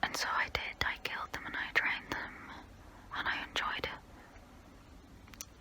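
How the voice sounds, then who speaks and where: A woman playing a tormented, confessional female vampire in an ASMR role play, whispering softly and breathily up close to the mic. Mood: guilty confession. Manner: slow, hushed, deliberate, pausing between phrases. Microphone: close-up microphone.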